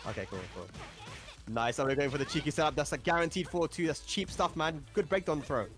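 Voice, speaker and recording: A man's voice: a little high, a little fast, faint music behind. A man giving chatty, enthusiastic live commentary on a fighting-game tournament, often laughing along. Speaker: esports commentator.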